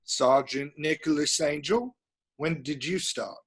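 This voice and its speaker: A man's voice: fairly high pitch, unhurried, breathy edge. A man playing a wry, unbothered village desk sergeant in an amateur script reading. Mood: wry, cheery. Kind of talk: amateur screenplay table read.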